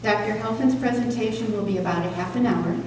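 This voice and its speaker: An older woman at a podium in a community hall, reading a prepared introduction in a measured, careful way. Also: a little high, darkish tone. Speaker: event organizer introducing a speaker. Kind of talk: prepared introduction read from notes. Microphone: stand microphone at podium, picked up distantly. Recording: echoey hall from far off, faint noise.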